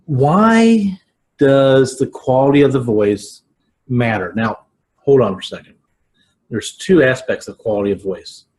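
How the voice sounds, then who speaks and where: An American man in his forties talks to camera like a writing teacher. His delivery is slow and deliberate, with emphatic words and halting pauses. The pitch sits in a middle male range. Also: expressive, a little mellow. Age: 40s. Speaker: video podcast host, writing teacher. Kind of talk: informal instructional talk to camera. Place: small living room at home, indoors.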